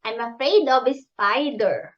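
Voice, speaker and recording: A woman from the Philippines with a Filipino accent, chatting in an animated, giggly way as a coach being interviewed. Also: a little high, measured pace, highs cut off, a crowd in the background. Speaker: business coach, interview guest. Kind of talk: casual interview chat.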